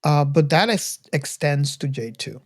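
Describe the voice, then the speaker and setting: A podcast host speaking casually in a halting way, often restarting sentences, while leading a film discussion. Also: medium pitch, lively intonation, husky, dry recording, clean studio sound.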